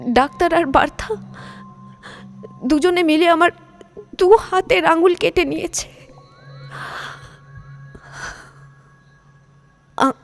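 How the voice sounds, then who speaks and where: Woman acting a high-voiced, distressed victim. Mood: anguished. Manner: halting, distressed, repeats words. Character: weak, wronged woman doctor recounting her ordeal. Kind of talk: dramatised audio story acting.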